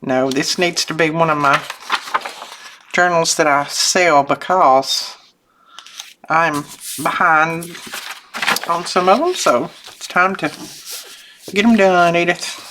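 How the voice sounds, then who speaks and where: Low-voiced older woman chatting while crafting. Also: takes it slowly, pauses often, noisy background. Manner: chatty, homey, self-interrupting, chuckles often. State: voice lowered from sinus trouble. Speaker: craft channel host. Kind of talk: casual crafting chat while working. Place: home craft desk.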